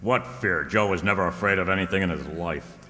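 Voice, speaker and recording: A man's voice: low voice, a little fast, sharp tone. A man playing a blunt, loyal, tough investigator with informal, clipped speech in an old-time radio drama. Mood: challenging. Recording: echoey hall, background noise.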